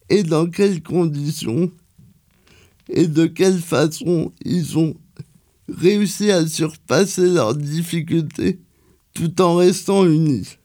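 Slow, mellow-voiced man reading a culture column. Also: a little high. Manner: slow and careful, with hesitations and fillers. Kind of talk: reading a radio events column.

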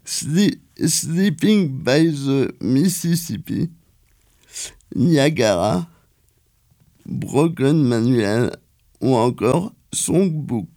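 A man reading a cultural events column on local radio, slow and careful, with some hesitations. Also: on the high side, darkish tone.

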